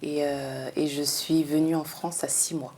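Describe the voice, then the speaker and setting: A woman from the Democratic Republic of the Congo, a businesswoman answering interview questions in a calm, earnest way with frequent hesitations. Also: sits low, measured pace, creaky, husky. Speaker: guest, businesswoman. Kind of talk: conversational interview.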